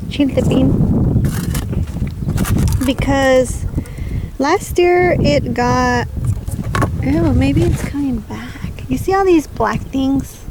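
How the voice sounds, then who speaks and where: A woman with a scratchy, allergy-affected voice, chatting casually and thinking aloud like a home vlogger at her chores. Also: sits high. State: scratchy voice and throat clearing from allergies. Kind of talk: casual chat while doing backyard chores.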